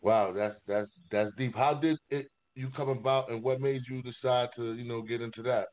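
A man hosting a casual interview, speaking haltingly and repeating words as he goes. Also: mid-range voice, steady tone. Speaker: interviewer, host. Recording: thin phone-like call audio.

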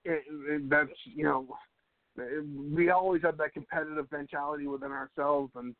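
A man's voice: a little high, fairly even intonation, normal speed, dry recording. A man in his mid-thirties talking casually on a radio show, often restarting his sentences and laughing easily. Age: 30s. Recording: dry, tinny phone-like line.

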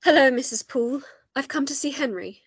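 A woman's voice: sits high, brisk, dry recording. A woman playing a genteel, earnest and worried lady in a radio play, speaking formally and a little hesitantly. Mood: polite.

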